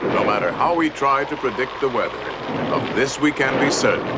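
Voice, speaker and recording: A man's voice: medium pitch, moderate pace, very noisy. A man giving playful, theatrical scripted narration in the manner of a 1950s educational film. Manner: theatrical, expressive phrasing. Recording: old film soundtrack under loud music. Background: loud musical score.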